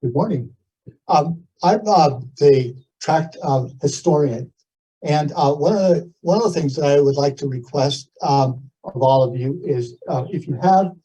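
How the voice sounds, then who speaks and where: A man's voice: on the high side, mellow, breathy edge. A man speaking hesitantly as he makes an informal request to a community meeting. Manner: hesitant, halting. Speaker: community historian. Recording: muffled, dull meeting audio.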